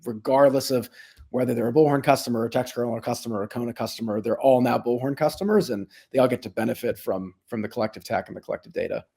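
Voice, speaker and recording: A man's voice: mid-range voice, fast, dark tone, slightly rough. A man speaking as an executive in a business interview, explaining things conversationally with frequent asides. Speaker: company executive being interviewed.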